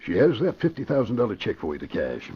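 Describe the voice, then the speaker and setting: An American man playing a scheming middle-aged investment crook in old radio crime drama style, commanding, smooth-mannered and emphatic. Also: fairly low pitch, huge pitch swings, fast, exaggerated voice. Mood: instructing. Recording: muffled old radio recording.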